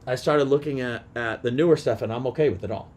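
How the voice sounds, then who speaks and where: A man with a medium-pitched voice talks informally as a podcast co-host. His delivery is conversational and unscripted. A faint low rumble of noise sits behind the recording. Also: fast, a little mellow. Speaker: teacher. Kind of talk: casual chat.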